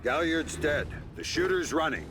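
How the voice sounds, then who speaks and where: A man's voice: a little high, big pitch swings, moderate pace, music in the background. A man playing a grim, menacing veteran spy in a video game, speaking tersely like an interrogator. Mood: urgent. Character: grim, menacing veteran male covert agent. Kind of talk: video game cutscene acting.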